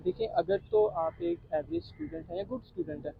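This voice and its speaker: A man's voice: extremely high pitch, sing-song, fairly quick, vocal fry, husky, no room sound, narrow, tinny audio. A man in his late teens with a Pakistani accent, talking casually as an interview guest and mixing in English. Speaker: interview guest, young tech entrepreneur.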